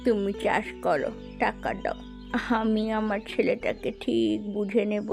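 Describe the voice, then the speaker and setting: A domineering older village matriarch played by a woman in a cartoon moral story. Her voice is full of creak at a middling pitch, and she gives orders curtly. Light music sits behind her. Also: flat delivery, measured pace, a little mellow. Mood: stern, grudging. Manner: curt, commanding. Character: bossy, money-minded older mother-in-law. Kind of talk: cartoon moral-tale voice acting.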